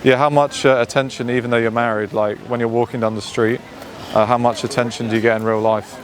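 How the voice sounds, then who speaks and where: A man's voice: medium pitch, level tone, fast talker. A man casually interviewing people on a busy city street, asking easygoing questions full of fillers. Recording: busy street crowd behind.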